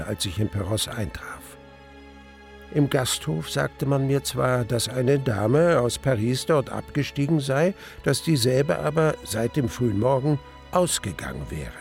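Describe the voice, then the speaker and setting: An actor playing a brooding young gentleman who narrates his memories in a measured, reflective radio play style. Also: mid-range voice, creaky. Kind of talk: first-person narration in a German radio play.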